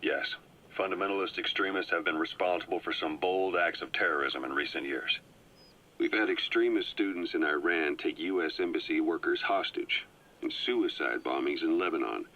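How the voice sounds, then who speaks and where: A calm, briefing field agent. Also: male voice, low voice, full character voice. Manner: measured, explanatory briefing. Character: calm, well-informed male agent briefing a comrade. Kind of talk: video game cutscene voice acting. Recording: muffled cassette tape audio.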